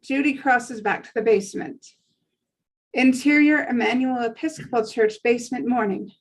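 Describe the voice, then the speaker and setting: High-voiced woman narrating a script. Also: fairly even intonation, normal speed, poor audio. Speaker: narrator reading stage directions at a table read. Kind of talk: scripted narration, screenplay read aloud.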